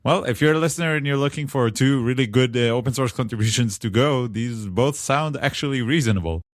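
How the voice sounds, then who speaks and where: A man with an Israeli accent hosting a casual tech podcast, chatty and relaxed. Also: medium pitch, a little fast, no echo, studio quality. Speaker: podcast host.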